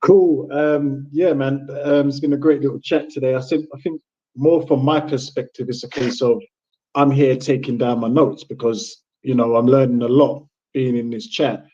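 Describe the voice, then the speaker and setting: A man's voice: medium pitch, normal speed, slightly rough. A man born in England who grew up in Jamaica, a panel guest chatting casually and rambling a little, on a muffled online call. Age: adult father with children. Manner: relaxed, rambling with many restarts. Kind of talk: casual livestream chat.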